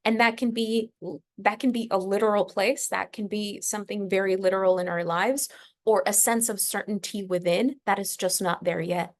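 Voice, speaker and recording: A woman with an average-pitched voice gives an unscripted spiritual lesson as a podcast host. She is reflective and emphatic, stressing her points and leaving deliberate pauses inside her sentences as she thinks them through. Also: fairly even intonation.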